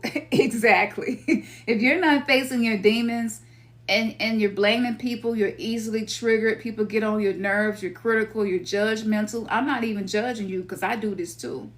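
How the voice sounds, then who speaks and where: A woman's voice: fairly high pitch, moderate pace. A middle-aged woman from Memphis with a Memphis Southern accent, talking casually as a spiritual teacher, loose and slangy, sometimes acting out her own inner voice. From Memphis, Tennessee. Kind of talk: casual spiritual talk to listeners.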